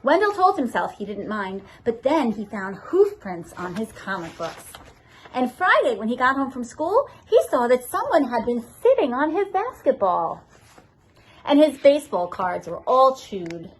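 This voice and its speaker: A woman's voice: on the high side, big pitch swings, measured pace, breathy edge. A woman reading a children's storybook aloud expressively, as a school assistant principal addressing her pupils.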